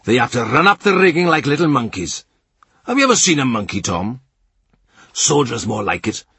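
A man voicing a loud, bullying middle-aged innkeeper in a storybook reading, coarse and mocking. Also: medium pitch, sing-song, normal speed, creaky, husky, dry recording, pitched above the performer's own voice, full character voice. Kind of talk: audiobook character voice.

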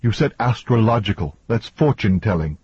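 A man's voice: fairly low pitch, expressive, fairly quick, darkish tone, husky, no room sound, muffled recording. A man voicing a cool, precise woman scientist who corrects others matter-of-factly in an audiobook. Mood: exasperated. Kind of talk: audiobook character dialogue.

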